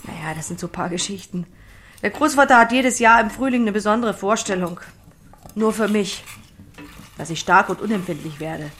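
A woman's voice: mid-range voice, normal speed, a bit bright, close to an everyday voice. An actress playing an earthy, stubborn young woman pig farmer in a radio drama, blunt and plain-spoken. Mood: grim, recollecting. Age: young adult. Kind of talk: German audio drama acting.

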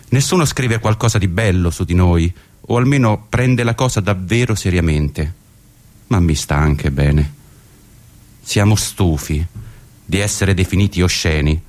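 A man's voice: sits low, darkish tone. A man reading a monologue as a radio performer. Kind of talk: dramatic reading of a translated monologue. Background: guitar music.

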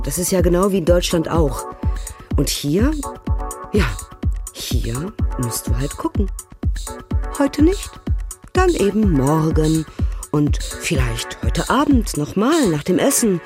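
An actress playing an exasperated German woman architect living abroad, speaking colloquially in a documentary radio play. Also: sits low. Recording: music behind the voice.

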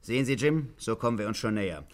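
An actor playing a sly police inspector in a radio play, pressing and insinuating as in questioning. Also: male voice, lowish voice, expressive, quick pace, lightly acted. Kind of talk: 1950s German radio play acting.

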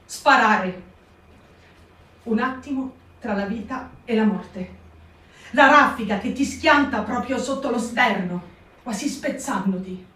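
A woman's voice: on the high side, slow, long pauses, bright, forceful tone. A woman giving a performed literary reading, narrating in an intimate, vivid way. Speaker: reader. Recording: roomy live stage, distant mic.

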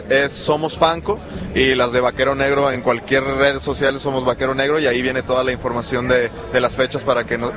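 A Mexican man, a touring musician, casually inviting listeners along in an interview. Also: medium pitch. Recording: dull sound amid loud crowd. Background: festival crowd.

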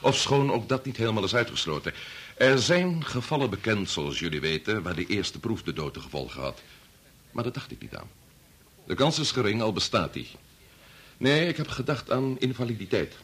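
Radio play: conscience-torn middle-aged scientist and father, deliberate and solemn, somewhat rough-edged voice with expressive swings. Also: lowish voice, full character voice. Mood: grave, explaining. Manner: deliberate, hesitant, formal. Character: grave, conscience-torn male chief bacteriologist, father and husband. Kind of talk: Dutch radio play acting.

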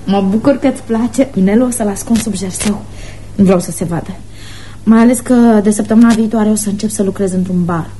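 A woman's voice: medium pitch, dark tone, a little hiss or hum, lightly acted, poor audio. An actress playing a playful, frank, impulsive schoolgirl of almost eighteen, in love, in a girlish, teasing radio theatre style. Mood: soft, confiding.

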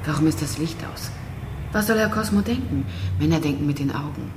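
A woman's voice: deep voice, brisk, background noise, natural voice. An actress playing an enigmatic, cool woman in a radio drama, terse and teasing, with a fine porcelain-like voice.

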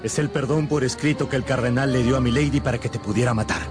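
An actor playing a brisk, urgent soldier in a swashbuckling radio drama. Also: male voice, medium pitch, over music, natural voice.

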